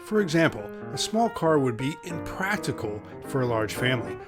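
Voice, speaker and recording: A man's voice: mid-range voice, sing-song, normal speed, music in the background, close to an everyday voice. A man playing a rambling, defensive castaway in a comic radio play, prone to over-explaining. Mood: pedantic.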